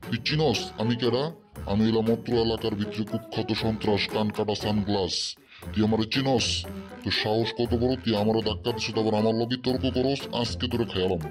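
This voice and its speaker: A man's voice: on the low side, steady tone, fairly quick, music in the background, lightly acted. A man voicing a hot-tempered, boastful cartoon gangster who talks threateningly in a Bangladeshi regional dialect. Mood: menacing, boastful.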